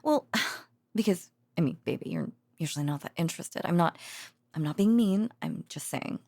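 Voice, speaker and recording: A woman playing an affectionate, excitable researcher girlfriend in an intimate bedtime roleplay, speaking softly and breathily in a hesitant, chatty way close to the microphone. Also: low-pitched, no room sound. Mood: teasing, skeptical. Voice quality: breathy, soft, often near a whisper. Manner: hesitant, chatty, with sighs and giggles. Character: affectionate, rambling, excitable researcher girlfriend soothing her boyfriend to sleep. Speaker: voice actor. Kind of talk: intimate ASMR-style roleplay monologue.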